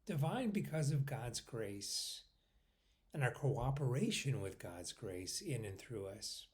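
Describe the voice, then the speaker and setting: Guy leading a spiritual meditation, really slow, medium pitch that moves around a lot, pauses between bits. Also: very animated. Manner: unhurried, pausing between short phrases, often trailing off softly. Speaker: meditation guide.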